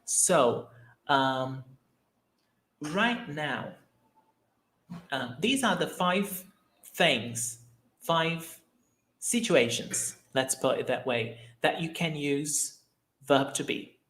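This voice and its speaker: A man teaching a language lesson, speaking slowly and deliberately and repeating each example sentence. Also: on the high side, lively intonation, a bit bright, roomy sound. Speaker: Portuguese teacher. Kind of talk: language lesson, mixing English and Portuguese.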